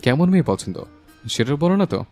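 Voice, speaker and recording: A man acting a calm, inquisitive married older brother in an audio love story. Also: fairly high pitch, a little fast. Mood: curious. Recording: music under the voice.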